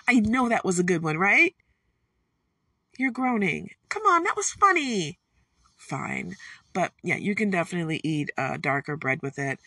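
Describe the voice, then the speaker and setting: A woman's voice: on the low side, bright, forceful tone, no room sound. A woman cooking at home, chatting in a casual, folksy way with self-amused asides and corny jokes. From Detroit, USA. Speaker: home cook. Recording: distant mic with guitar music behind. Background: guitar music.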